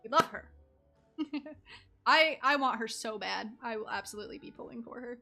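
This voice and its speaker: A woman's voice: fairly high pitch, normal speed, vocal fry, sharp tone, faint music behind. A woman giving casual, reactive and teasing live commentary while she plays a game. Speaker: game streamer.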